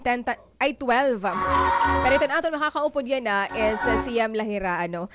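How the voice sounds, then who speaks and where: A woman's voice: fairly high pitch, quick pace, over loud music. A woman hosting a live morning radio program from an announcer's booth, chatty, laughing often and switching between languages. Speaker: radio host.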